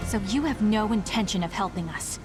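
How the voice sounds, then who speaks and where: An actress playing a determined, spirited young heroine in a video game, with a firm and resolute delivery. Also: female voice, medium pitch, expressive, brisk, music in the background, close to an everyday voice. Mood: firm, challenging. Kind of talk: dramatic video game voice acting.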